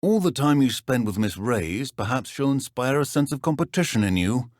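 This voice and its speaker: An actor playing a stern middle-aged British wizard professor in a video game, speaking in a measured, dry, formal way. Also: male voice, mid-range voice, sing-song, a little mellow, no room sound, lightly acted. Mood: wry.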